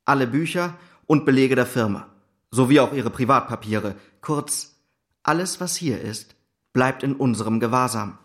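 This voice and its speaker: An actor playing a stern, composed gentleman in a German radio drama, firm and precise with cool authority. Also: medium pitch, expressive, normal speed, close to an everyday voice. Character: stern, composed gentleman coolly cornering a culprit.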